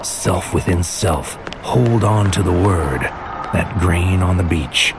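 A brooding infiltrator narrating a surreal noir audio drama. His voice sits very low, and he speaks in deliberate, clipped, poetic phrases. Music runs clearly underneath him. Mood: brooding, intense.

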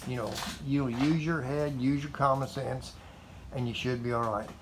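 A man's voice: medium pitch, fairly even intonation, normal speed. Old guy in his 70s rambling about his ride, lots of uh and you know, faint hum behind, recorded in a tin carport. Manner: folksy, meandering, repeats himself with fillers. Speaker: motorcycle vlogger. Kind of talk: rambling casual monologue to camera. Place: metal carport garage, indoors.

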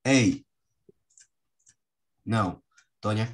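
A woman's voice: a bass voice, very slow. A woman with as her language, teaching an interactive lesson on a video call in short prompts. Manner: short prompts, calls on pupils. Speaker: online English teacher. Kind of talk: casual interactive lesson with word games.

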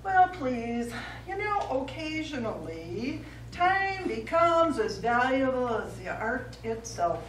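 A woman's voice: sits high, big pitch swings, slow, heavily acted. A middle-aged woman on stage playing a theatrical, easily offended artist with a grand, florid delivery. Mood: gracious, theatrical. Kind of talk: live stage play acting. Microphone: far camera. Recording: roomy stage, distant camera mic, noisy.

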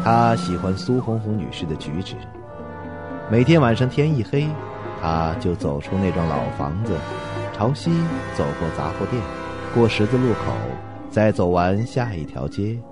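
A man narrating a suspense audio drama in a dramatic, scripted storytelling style. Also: low voice. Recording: loud music under the voice. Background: loud music.

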